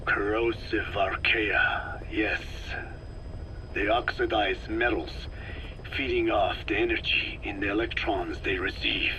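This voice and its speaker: A man's voice: on the low side, fairly even intonation, sharp tone, slight background noise, poor audio. An actor playing a grave, learned old man, a scientist lecturing formally in a video game cutscene. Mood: measured, lecturing. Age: older. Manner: solemn, deliberate, formal lecturing.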